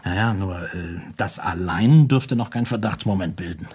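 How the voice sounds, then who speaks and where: Radio-play detective: low, husky, circumspect, over-polite and hesitant. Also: male voice, normal speed, a little mellow. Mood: musing, circumspect. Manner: stiffly polite, hesitant, over-formal phrasing. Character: formal, circumspect male private detective. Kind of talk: German radio play acting.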